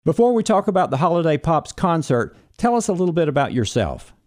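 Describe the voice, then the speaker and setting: A man's voice: a little high, sing-song, brisk, darkish tone. Man hosting a radio interview. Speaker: morning show host. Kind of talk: broadcast interview.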